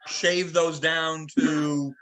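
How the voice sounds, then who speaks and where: A man's voice: on the high side, little pitch movement, extremely slow, fairly bright tone. A man moderating a technical club call, checking details and often repeating the start of his words.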